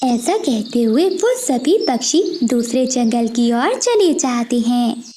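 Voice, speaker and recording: Female narrator for children's cartoon tale: high-pitched, mellow, slight noise behind. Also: sing-song, slow. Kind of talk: scripted children's story narration.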